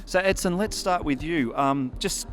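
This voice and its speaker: A man's voice: fairly high pitch, moderate pace, a bit bright, soft background music. Male panel moderator, easy conversational. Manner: friendly, conversational prompts. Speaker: moderator, host.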